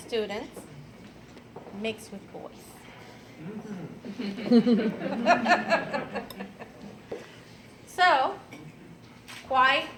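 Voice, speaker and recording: A woman, telling her personal story at an event in an unhurried way with fillers and light laughs. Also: on the high side, noisy background. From Venezuela. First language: Spanish. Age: 50s. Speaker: speaker at an event. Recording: clattering dishes and cutlery behind.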